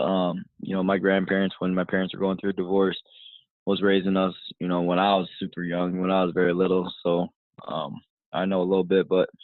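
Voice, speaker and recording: A man's voice: sits low, no room sound. A young man in his twenties from the United States, talking as a podcast guest in a casual, rambling way full of "you know" fillers, heard over a tinny phone line. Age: 20s. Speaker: guest, fighter.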